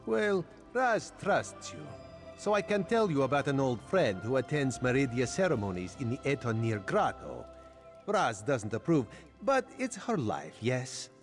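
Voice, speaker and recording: A man's voice: mid-range voice, big pitch swings, unhurried, faint music behind. A man playing a wily, wry cat-man spy in a fantasy game, speaking theatrically and calling himself by name. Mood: wry, exasperated. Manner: theatrical, refers to self in third person. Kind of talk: video game character dialogue.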